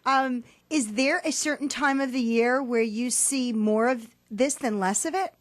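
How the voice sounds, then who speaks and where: A woman's voice: a little high, unhurried, dry recording. A woman hosting a casual radio chat, asking lots of curious questions into a studio mic. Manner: inquisitive, expressive. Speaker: radio host.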